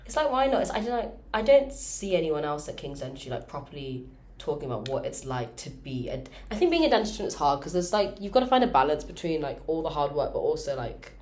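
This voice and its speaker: A woman's voice: low-pitched, sing-song, fast talker, darkish tone. Woman, late teens, English accent, casual podcast chat, chatty and informal, student co-host. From Birmingham, England.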